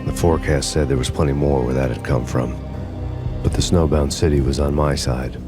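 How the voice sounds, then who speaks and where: A hardboiled noir cop narrates in a gravelly, deadpan monotone. His voice is extremely deep and barely moves, with music underneath. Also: brisk.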